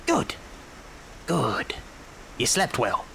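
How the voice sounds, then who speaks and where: An actor playing a wily old leader of child pickpockets in an audio drama, coaxing in drawn-out phrases with faint menace. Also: male voice, medium pitch, huge pitch swings, vocal fry, pushed and bright, a little hiss or hum, full character voice.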